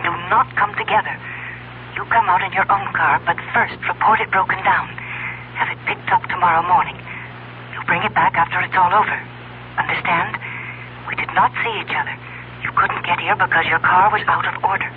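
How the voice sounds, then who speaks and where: An actress playing a cold, scheming fiancée who gives crisp orders in a radio drama. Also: female voice, fairly low pitch, a little fast, close to an everyday voice. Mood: cold, insistent. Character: cold, commanding, scheming female fiancée plotting murder. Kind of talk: 1970s radio drama acting. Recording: tinny phone line, old radio hum.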